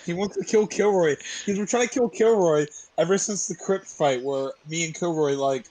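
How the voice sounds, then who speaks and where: A man's voice: high voice, normal speed, a little mellow, no echo, rough recording. A man playing a tabletop roleplaying game, talking casually about what his character does.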